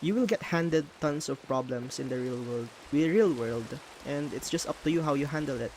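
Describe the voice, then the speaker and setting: A man's voice: a little high, darkish tone, a little hiss or hum. A man from the Philippines with a Filipino accent and Tagalog as his first language, chatting casually as he builds in a game and stopping to hunt for English words. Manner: thinks aloud, pauses to hunt for English words. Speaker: streamer.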